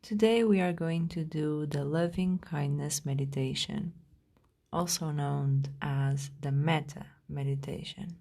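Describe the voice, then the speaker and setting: A woman leading a guided meditation in a gentle, soothing, unhurried way with long pauses, her voice soft and at times breathy. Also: sits low. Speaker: meditation guide, podcast host.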